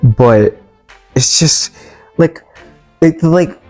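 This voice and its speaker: Mellow exasperated reviewer ranting over music. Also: mid-range voice. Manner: exasperated, halting, repetitive. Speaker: video reviewer. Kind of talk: casual opinionated movie rant.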